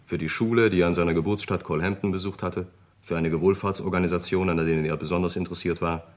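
A man acts out a discreet solicitor in a radio crime play. The voice is very deep. He is formal and obliging, setting out each detail precisely and matter-of-factly. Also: fairly even intonation, fast, lightly acted. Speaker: radio drama actor. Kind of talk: German radio crime drama acting.

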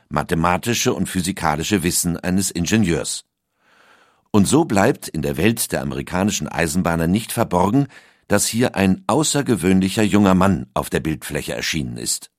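A man reading a documentary script as narrator, in a measured, even storytelling delivery. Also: low voice, dry recording, studio quality.

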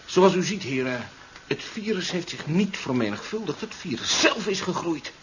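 An actor playing a gruffly stubborn, pragmatic doctor and scientist in a 1970s science fiction radio play, blunt and colloquial. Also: male voice, on the high side, sing-song, normal speed, a bit bright. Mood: measured explaining. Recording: noisy, dull 1970s radio recording.

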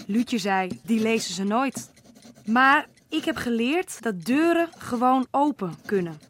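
A woman narrating a letter with slow, reflective delivery. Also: a little high, lively intonation, fairly bright tone, slight background noise. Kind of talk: scripted letter-reading narration.

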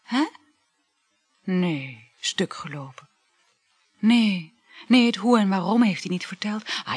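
A woman's voice: fairly low pitch, husky, dry recording, exaggerated voice. An actress playing a chatty, flirtatious married woman in a Dutch radio play, effusive and full of sighs.

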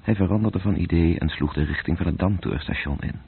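A man narrating a literary radio drama in terse, measured phrases, with a gravelly, breathy voice. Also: deep voice. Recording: muffled, tinny old radio-play recording.

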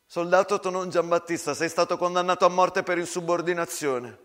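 A man's voice: high-pitched, fairly even intonation, a little fast, a bit bright, pitched above the performer's own voice. A man acting a stern, anguished wartime army captain on stage, barking his words in an insistent, commanding way. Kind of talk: theatrical stage monologue acting.

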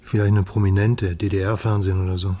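A man's voice: sits low, flat delivery, normal speed, dark tone. An actor playing an amused, inquisitive male confidant in a radio crime drama, with a teasing manner. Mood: pondering, teasing.

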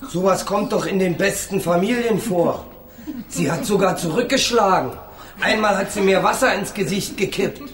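A man's voice: high-pitched, moderate pace, fairly bright tone, echoey room. An actor playing a defiant young male defendant, a small-time rogue who talks in a flippant, colloquial way in a German radio play. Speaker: actor in radio crime drama.

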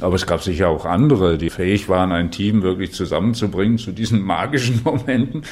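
A man's voice: deep voice, fast talker, a little mellow. A man in a conversational interviewer's role, responding and prompting.

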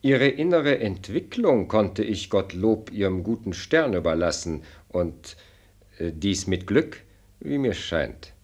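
A courtly old minister of state in a radio play. His low, husky voice is measured and ceremonious, fatherly yet always diplomatically deferential. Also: big pitch swings, darkish tone, full character voice. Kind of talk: German radio play acting.

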